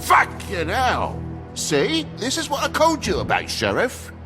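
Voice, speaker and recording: A man's voice: high voice, wildly swooping pitch, unhurried, over music, full character voice. A man voicing an irritable, foul-mouthed British toad in a video game, agitated and full of complaint. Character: irritable, foul-mouthed working-class toad landlord and father.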